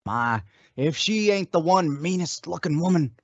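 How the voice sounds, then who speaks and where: A man's voice: a little high, expressive, normal speed, no room sound. A young man playing a chatty, earnest frontier sidekick with rustic phrasing in a radio Western. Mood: earnest, gossipy. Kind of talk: old-time radio Western drama acting. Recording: dull, dry lo-fi recording.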